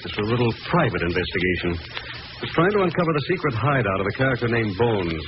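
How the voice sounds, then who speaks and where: An actor playing a calm, confident gentleman detective in a 1940s radio drama. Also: mid-range voice, fast talker, lightly acted. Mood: composed. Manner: composed, assured. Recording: noisy, muffled vintage radio broadcast recording.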